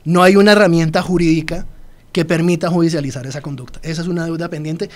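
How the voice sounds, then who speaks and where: Hombre colombiano que habla rápido. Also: male voice, fairly high pitch, steady tone, fast talker. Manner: explanatory, addresses listeners directly. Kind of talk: radio interview.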